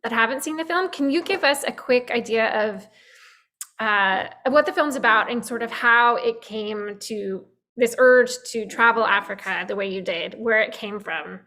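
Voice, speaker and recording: A woman moderating a film festival Q&A, friendly and filling pauses with ums as she asks her questions. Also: fairly high pitch, pushed and bright. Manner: friendly, with frequent fillers. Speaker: festival Q&A host.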